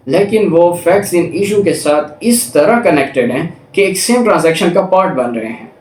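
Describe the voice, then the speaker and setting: A man's voice: fairly high pitch, a little mellow. A Pakistani man giving an explanatory law lecture, reading formal text aloud and then methodically rephrasing it, mixing in English terms. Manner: methodical, repeats and rephrases points, switches into English legal terms. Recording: echoey room, distant microphone.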